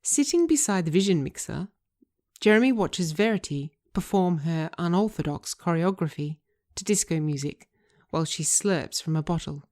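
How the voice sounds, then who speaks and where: A woman narrating a screenplay aloud, reading scene headings and stage directions in a measured, deliberate way. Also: lowish voice, animated, mellow. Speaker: writer and narrator.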